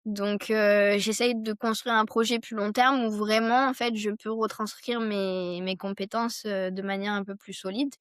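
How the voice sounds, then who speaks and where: A woman's voice: medium pitch, little pitch movement, unhurried, hardly any pauses, clear, resonant tone, no room sound, clean studio sound. A young adult woman from France, a podcast guest and entrepreneur, talking things through in long winding runs with many fillers. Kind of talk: casual conversational interview.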